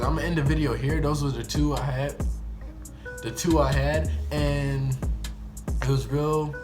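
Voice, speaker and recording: A young American man telling a story casually to viewers, rambling and slangy, often correcting himself. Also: medium pitch. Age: young adult. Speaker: video storyteller.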